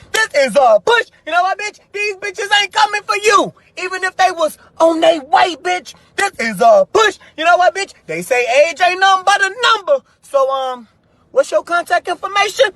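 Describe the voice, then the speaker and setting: Very high bright voice, American accent, brash, sassy, profane shouted hype rant, emphatic. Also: animated, measured pace, no echo.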